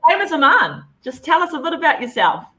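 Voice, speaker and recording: A woman hosting a live-streamed interview, bubbly and quick to laugh. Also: high-pitched, very animated, bright, forceful tone. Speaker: host. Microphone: video call.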